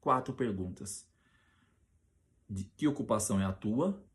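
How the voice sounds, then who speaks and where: A Brazilian man preaching on a Bible passage, often restarting his sentences and slowing down to read scripture aloud with emphasis. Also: lowish voice. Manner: hesitant with many restarts, reads scripture slowly and loudly.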